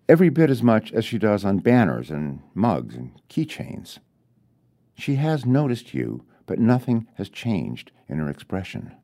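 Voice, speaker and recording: A man reading his own literary fairy tale aloud in a measured, deliberate way, with dramatic pauses. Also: on the low side, dark tone. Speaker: author reading his own short story.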